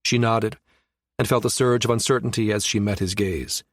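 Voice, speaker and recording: A man's voice: on the low side, fairly even intonation, normal speed, no echo, studio quality. A man reading a science fiction audiobook as scripted narration.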